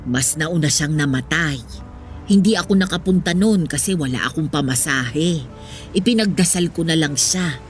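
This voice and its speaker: A woman playing an old, poor Filipino mother in a radio drama, wistful and gently wise, speaking in an earnest, reflective way. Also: sits low, normal speed, lightly acted. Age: older. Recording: music behind the voice.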